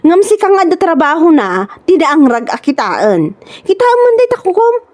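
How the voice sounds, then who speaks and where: A woman's voice: very high voice, moderate pace. A Filipino woman with an Ilocano accent playing a vain, boastful, scornful village woman in a comedy radio drama. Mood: taunting. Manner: animated, scornful, exclaiming.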